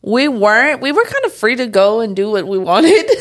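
A woman's voice: a little high, very animated, normal speed. Laughing, chatty young woman co-host. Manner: laughs often, loose and chatty. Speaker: podcast co-host. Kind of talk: casual chat.

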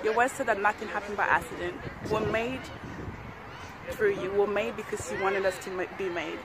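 A woman's voice: medium pitch, moderate pace. A young woman from Ghana giving an earnest casual testimony outdoors, often saying "you know". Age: young adult. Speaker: interview guest. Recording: loud street crowd and traffic noise. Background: crowd and traffic.

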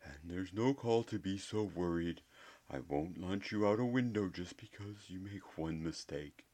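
A man voicing an eccentric, playful old male wizard headmaster in a soft, airy, cheerful tone. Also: low-pitched, a little slow.

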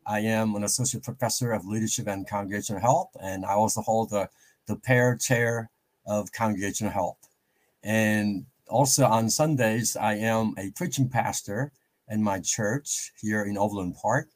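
A middle-aged man from South Korea with a Korean accent, explaining things politely and in a measured way as an interview guest. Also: on the low side, steady tone. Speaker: interview guest, professor and preaching pastor. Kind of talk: conversational interview.

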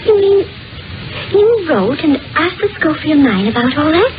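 A woman's voice: high voice, sing-song, moderate pace. A curious, easily spooked small-town gossip in a vintage radio mystery. She speaks in a confiding, chatty way with anxious little hesitations. The recording is muffled and old, with music. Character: gossipy, curious, easily frightened housekeeperly local woman. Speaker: actress in a radio mystery drama. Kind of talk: old-time radio drama acting.

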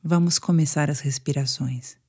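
A woman with a Brazilian Portuguese accent guiding a sleep meditation in a soothing, hushed manner with pauses. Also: low voice, fairly even intonation, slightly rough. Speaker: meditation guide. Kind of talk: guided sleep meditation narration.

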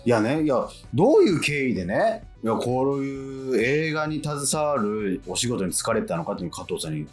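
A man's voice: mid-range voice, big pitch swings, normal speed, few pauses, faint music behind. A man hosting a radio show, chatting politely and interviewing a guest with frequent small responses.

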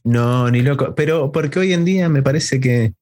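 A man in his thirties hosts a casual podcast conversation in Rioplatense Spanish. His voice sits at a medium pitch. He talks informally, with plenty of everyday slang. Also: moderate pace, a little mellow. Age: 30s. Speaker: podcast host.

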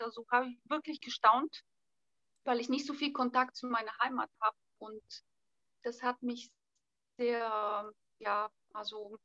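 Young woman, bright and tense tone, hesitant casual talk. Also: fairly high pitch, no room sound, lo-fi sound. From Bulgaria. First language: Bulgarian. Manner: hesitant, thinking aloud with fillers. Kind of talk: casual conversation.

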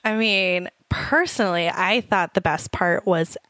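A woman co-hosting a casual wedding podcast with her husband, joking and laughing often, her voice a bit hoarse and deep. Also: measured pace, pushed and bright, dry recording. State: slightly hoarse, voice gone deep. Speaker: podcast co-host.

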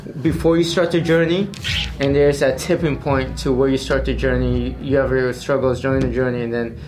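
A woman with an unusually deep voice asks interview questions in a loose, conversational way, often stumbling and restarting mid-sentence. Soft music hums faintly behind her. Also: fairly even intonation, a little fast. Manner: restarts and stammers, friendly prompting.

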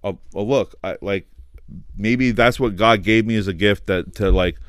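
A podcast host with a low, resonant voice who talks loudly and passionately, cursing freely and leaning on "like". He is recorded on a dry close mic with no room sound. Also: normal speed, smooth, no echo. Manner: loud, passionate, swears, heavy use of "like". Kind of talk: casual podcast chat.

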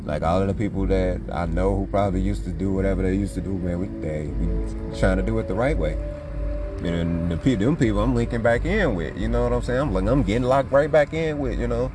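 A man, talking in a rambling, casual monologue full of slang and "you know". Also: lowish voice. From Chicago. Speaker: podcast host. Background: music.

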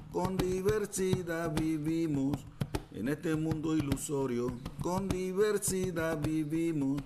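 A man playing an old village verse-maker who sings repeated folk verses to reassure his neighbours in a radio drama. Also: a little high. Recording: clearly noisy recording.

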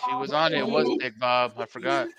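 A man giving casual, profane live commentary on a wrestling watch-along as a podcast host, quick to exclaim at the action. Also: mid-range voice, steady tone, highs cut off. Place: live show at a bar and grill. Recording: loud crowd chatter in a busy bar. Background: bar crowd noise.

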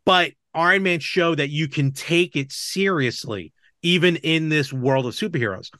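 A man talking casually as a podcast co-host, explaining things in an animated way and checking in with the listener. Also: on the high side, moderate pace, dry recording, studio quality. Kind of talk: casual chat about movies and games.

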